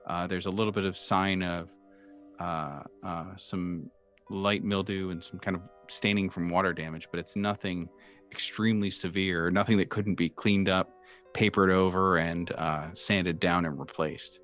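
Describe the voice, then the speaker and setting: A man working as game master, improvising descriptive narration for a horror tabletop game in a halting, hesitant way. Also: low voice, steady tone, lo-fi sound. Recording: music playing behind the voice. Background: soft background music.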